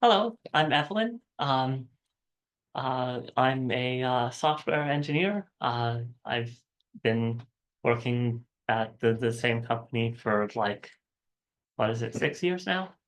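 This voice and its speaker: A young woman and software engineer introducing herself on a panel, hesitant and full of fillers. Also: very deep voice, lively intonation, takes it slowly.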